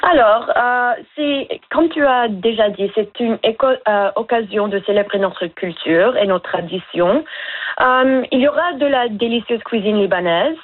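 A woman's voice: a little high, measured pace. Young woman on a tinny phone line. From Lebanon. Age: young adult. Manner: earnest, hesitant with many fillers. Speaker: guest, veterinary student. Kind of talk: phone-in radio interview.

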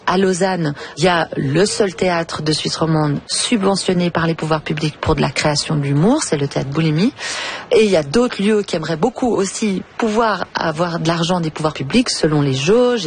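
A woman's voice: deep voice, moderate pace, a little hiss or hum. A woman answering an interview in an earnest, advocating tone. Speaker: comedian and association representative.

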